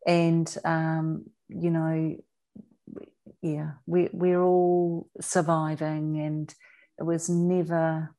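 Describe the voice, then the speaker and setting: A woman with a New Zealand accent speaking earnestly as an interview guest, deliberate and emphatic, repeating words for weight. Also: sits low, little pitch movement, dark tone. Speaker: interview guest, author and abuse survivor advocate.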